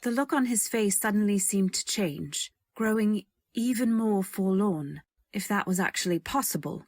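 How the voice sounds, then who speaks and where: A modest young woman, played by an actress tells her story in an old-time radio play. She is demure, earnest and a little wistful, at a middling pitch in a dry, close recording. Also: normal speed, darkish tone, dry recording, natural voice. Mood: wistful narration. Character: modest, earnest young female companion, quietly candid. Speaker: narrator and heroine. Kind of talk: first-person narration in old-time radio drama.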